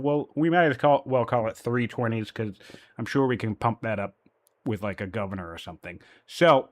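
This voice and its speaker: A man casting a strategy game tournament, working through numbers aloud with frequent "um" and "you know", still a little sniffly after a cold. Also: mid-range voice. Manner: analytical, thinking aloud with fillers. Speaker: tournament caster.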